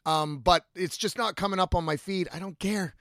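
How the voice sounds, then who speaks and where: A man's voice: high voice, normal speed, a bit bright, dry recording, clean studio sound. A man in his thirties hosting a casual, confessional solo podcast, rambling candidly and swearing freely. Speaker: podcast host, singer-songwriter.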